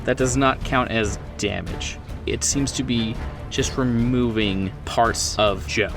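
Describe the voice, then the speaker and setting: A man's voice: medium pitch, slow. A man running a horror tabletop roleplaying game as game master, explaining rules and describing scenes off the cuff in a conversational way. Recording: distant mic, clear music behind. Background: music bed.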